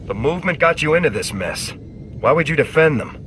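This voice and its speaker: An actor playing a steady soldier in a video game, speaking in a measured, direct, firm way. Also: male voice, fairly high pitch, a little hiss or hum. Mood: firm, calm. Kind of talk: video game dialogue acting.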